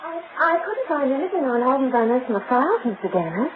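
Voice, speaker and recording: An actress playing a nervous, hesitant office secretary in an old-time radio drama. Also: female voice, high-pitched, big pitch swings, fast. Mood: hesitant, uneasy. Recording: very noisy, tinny old radio recording.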